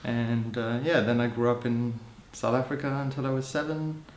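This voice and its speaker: A man in his thirties who grew up in Hong Kong, speaking as an interviewee in a thoughtful, musing way, often adding "you know" and soft laughs. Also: mid-range voice, steady tone, normal speed, a little hiss or hum. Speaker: interviewee, social anthropologist. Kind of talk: reflective personal account. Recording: roomy echo.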